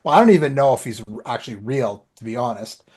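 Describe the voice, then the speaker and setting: A man's voice: medium pitch, fast, a little mellow, breathy edge. A man co-hosting a podcast, chatting casually and anecdotally in colloquial language, often acting out remembered exchanges. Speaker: podcast co-host and author.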